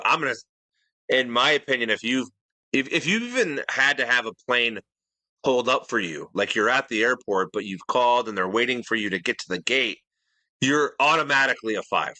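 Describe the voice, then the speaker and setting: A man's voice: medium pitch, pushed and bright, dry recording, rough recording. A man co-hosting a podcast in casual chat, easygoing and rambling, often posing questions. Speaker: podcast co-host.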